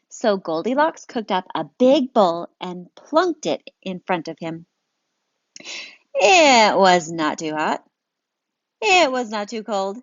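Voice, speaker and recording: A woman's voice: medium pitch, dry recording. A woman reading a picture book aloud as a teacher, engaging listeners with questions. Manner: lively, engaging, asks questions. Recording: dry, dull lo-fi sound.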